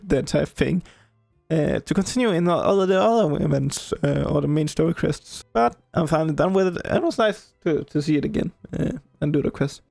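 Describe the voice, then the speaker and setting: A man chats casually over a video game in a rather high voice with a lot of creak. He rambles and halts, recorded close and dry with faint game music. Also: a little mellow, dry recording. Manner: rambling, halting, repeats himself. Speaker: video game player, commentator. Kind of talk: casual gameplay commentary.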